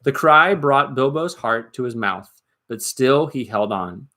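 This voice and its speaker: A man reading a story aloud as dramatic narration, expressive and suspenseful, with deliberate emphasis. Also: medium pitch. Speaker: storybook reader.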